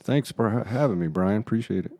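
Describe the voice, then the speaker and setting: Older male audio-specialist guest, deep and dark-toned, informal, conversational interview delivery. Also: expressive, fast talker, no echo. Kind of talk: casual interview talk.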